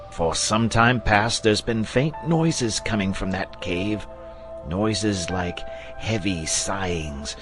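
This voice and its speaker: A man voicing an agitated, superstitious country shepherd in an audiobook, with rustic, exclamatory phrasing. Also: on the low side. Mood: uneasy. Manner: rustic dialect grammar, exclamatory.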